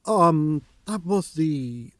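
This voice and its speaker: A man's voice: a little high, expressive, very slow, no room sound, clean studio sound. An actor playing a flustered male politician who gives pompous, evasive answers and stumbles over his words. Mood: blustering.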